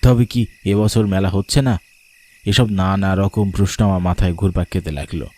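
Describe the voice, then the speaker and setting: A man playing an uneasy, frightened father who narrates his own horror story dramatically, halting with fearful stammers. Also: sits low, fairly even intonation, a little mellow. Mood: uneasy, puzzled.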